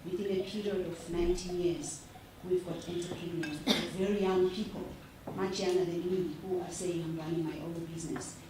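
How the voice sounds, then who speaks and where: A woman's voice: lowish voice, steady tone, fairly quick, hardly any pauses, noisy background. A woman giving an earnest, emphatic speech to a gathering. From South Africa. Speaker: speaker at a women's gathering. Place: function room at a breakfast event. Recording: echoey room, far from the camera.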